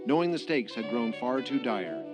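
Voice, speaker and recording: Man, mid-pitched, scripted radio play narration, prominent music bed. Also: sing-song, normal speed.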